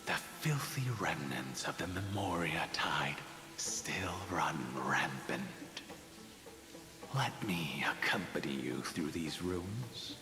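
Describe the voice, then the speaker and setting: Very deep, echoing ghostly man. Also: animated, full character voice. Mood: grave, foreboding. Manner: slow, formal, foreboding. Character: ghostly shadow of memory, grave ominous man. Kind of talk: scripted video game drama. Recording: echoing long reverb over music.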